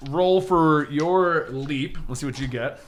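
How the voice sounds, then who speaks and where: A man's voice: a little high, slow pace. A man acting as game master, narrating a tabletop game in casual talk.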